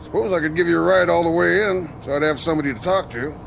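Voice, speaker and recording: An actor playing a friendly, talkative trucker with a laid-back folksy drawl. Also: male voice, on the high side, fast talker, vocal fry, a bit bright, a little hiss or hum. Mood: easygoing.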